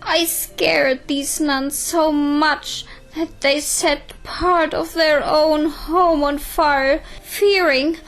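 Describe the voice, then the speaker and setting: A woman's voice: high voice, steady tone, extremely slow, hardly any pauses, fairly bright tone, soft background music, pitched above the performer's own voice, heavily acted, low-quality recording. A woman voicing an anguished, self-blaming demoness nun in an audio drama, plaintive and tearful. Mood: sobbing, guilty. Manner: plaintive, sobbing, longing.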